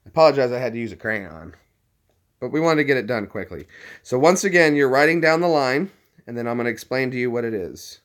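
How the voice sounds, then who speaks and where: A man's voice: medium pitch, lively intonation, fast. Chatty American man with a neutral accent. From Tennessee, United States. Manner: chatty and jokey, explains step by step. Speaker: vlogger. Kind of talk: casual chat to camera.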